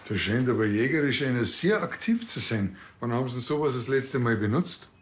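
A man's voice: mid-range voice, animated, fairly quick, lightly acted. An actor playing a wordy, smug, moralising policeman with a Bavarian accent and a mock-polite manner. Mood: nosy.

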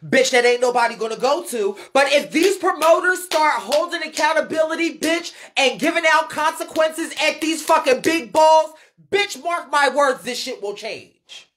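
Woman, American accent, high pitch, blunt, emphatic, sweary, repetitive, off-the-cuff live rant. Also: animated, normal speed, fairly bright tone, a little hiss or hum. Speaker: live streamer and ballroom scene commentator. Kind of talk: unscripted live rant answering viewer comments.

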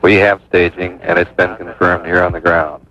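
A man's voice: low-pitched, flat delivery, moderate pace, pushed and bright. A man giving live spaceflight commentary as a mission control announcer, clipped and procedural. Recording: dull, noisy 1960s archive radio feed.

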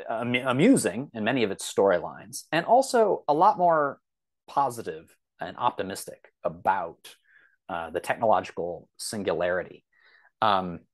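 A man with a fairly low voice talks casually as a guest on a podcast. He is enthusiastic and halting, often thinking out loud as he goes. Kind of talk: casual discussion.

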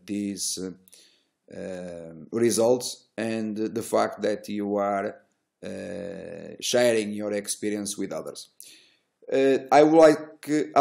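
A middle-aged man who is not a native English speaker, giving unscripted market commentary in halting fragments with drawn-out hesitations and a self-assured manner. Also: lowish voice, creaky, dark tone. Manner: self-assured, boastful about results. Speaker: stock trading educator and host. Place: small indoor room.

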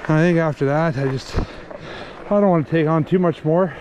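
A man casually narrating his fat bike ride outdoors, thinking aloud in a relaxed, halting way. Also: on the high side, background noise. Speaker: vlogger riding a fat bike. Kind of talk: casual narration while riding. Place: outdoors on snowy wooded trails.

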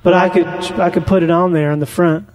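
A man making a deadpan prank phone call, rambling naively and slow to catch on. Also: high-pitched, dry recording. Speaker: prank caller on a comedy podcast.

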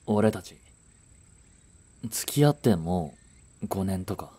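A man's voice: deep voice, lively intonation, takes it slowly, mellow, full character voice. A man playing a frustrated young working boyfriend in a romantic audio drama, speaking in blunt, casual masculine language. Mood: irritated, confused. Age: young adult.

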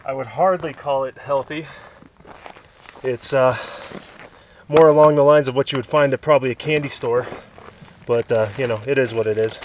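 A man's voice: medium pitch, steady tone, moderate pace, pauses often, a little hiss or hum. A man in his thirties vlogging casually outdoors on a mountain summit after a hard hike, tired, chatty and laughing often, recorded on a handheld camera at arm's length. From Southern California. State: exhausted after a hard climb. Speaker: hiking vlogger. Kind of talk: casual vlog talk to camera.

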